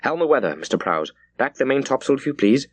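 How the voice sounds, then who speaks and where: A man voicing a curt, decisive British naval captain, barking crisp orders in an audiobook. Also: medium pitch, sing-song, fast talker, no echo, pitched above the performer's own voice. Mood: commanding. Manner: barks crisp orders, clipped and urgent. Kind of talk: dramatised audiobook character.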